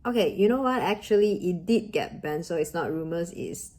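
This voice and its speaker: A young Malaysian woman whose first language is Mandarin talks earnestly to her viewers, encouraging them to believe in themselves. Her voice sits a little low and sounds mellow and warm. Her English carries a Malaysian accent. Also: expressive, moderate pace. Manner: earnest, encouraging, with grammar slips of a second-language speaker. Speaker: vlogger. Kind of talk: motivational talk to camera.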